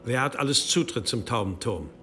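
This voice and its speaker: Stern medieval abbot, animated delivery. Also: male voice, mid-range voice, fairly quick, soft background music. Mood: curt, irritated. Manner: authoritative, curt, menacing. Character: stern, commanding medieval abbot planning exorcism. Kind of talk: German audio drama acting.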